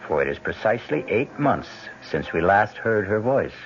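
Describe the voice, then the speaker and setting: An actor as the solemn storytelling host of a mystery play, giving scripted old-time radio narration. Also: male voice, on the low side, big pitch swings, moderate pace. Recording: dull vintage radio recording with music.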